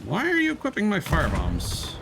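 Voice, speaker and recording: A man's voice: sits high, wildly swooping pitch, brisk, gravelly, noisy background. A man casually talking through his gameplay as a streamer, chatty and thinking aloud with frequent self-corrections.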